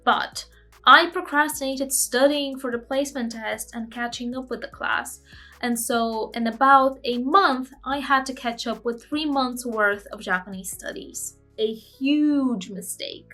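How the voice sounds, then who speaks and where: A woman's voice: on the high side, a little slow, pushed and bright, soft background music. Candid, self-mocking woman vlogger, Bulgarian native. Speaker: language-learning vlogger. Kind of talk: scripted vlog narration.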